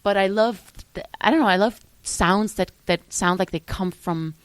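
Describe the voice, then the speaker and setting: A young woman from Switzerland talking as a musician guest in a casual interview, animated, often trailing off and restarting her sentences. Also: mid-range voice, normal speed, clear, resonant tone, dry recording. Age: young adult.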